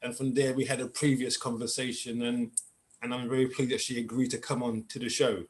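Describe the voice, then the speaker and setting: A man hosting a spiritual conversation show, asking questions haltingly with many fillers and restarts. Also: mid-range voice, little pitch movement. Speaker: podcast host. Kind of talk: interview hosting.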